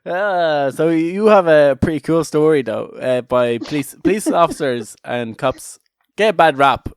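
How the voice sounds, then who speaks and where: A man's voice: a little high, animated, a little slow, smooth, no echo, studio quality. A man co-hosting a casual comedic podcast, talking in a rambling, irreverent way with many restarted sentences. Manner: joking, irreverent. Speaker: podcast co-host.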